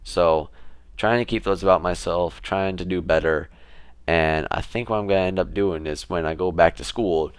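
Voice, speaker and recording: A young man talking casually to his camera in a relaxed, rambling way, with fillers and restarts. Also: low-pitched, moderate pace, clean tone, dry recording. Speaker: vlogger.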